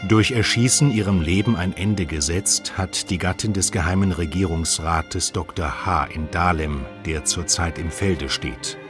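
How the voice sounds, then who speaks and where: An actor playing a grave, weary man of 1915, reading documents in a measured, sombre way for a radio documentary. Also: low-pitched. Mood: sober, formal. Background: faint music.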